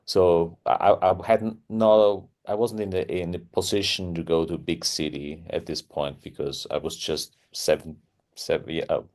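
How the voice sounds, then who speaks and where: A man's voice: sits low, fairly even intonation, moderate pace, darkish tone. A man from Austria, a guitarist guest, telling his story in a casual interview with many restarts and phrasing. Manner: hesitant, many restarts, non-native phrasing.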